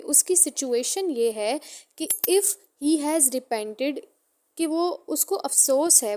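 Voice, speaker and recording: A Pakistani woman delivers an explanatory lecture in a notably high-pitched voice. Her tone is soft-edged and mellow rather than sharp. She is didactic, restating each point and slipping English terms into her speech. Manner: didactic, repeats and rephrases points, mixes in English legal terms. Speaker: law lecturer and advocate.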